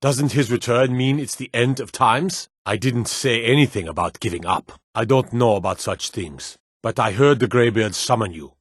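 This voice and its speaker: A man voicing a stern, loyal guard commander in a fantasy video game, with a gruff, weighty, formal delivery. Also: mid-range voice, moderate pace, hardly any pauses, no room sound, clean studio sound, lightly acted. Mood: grave. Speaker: voice actor for game guard lines.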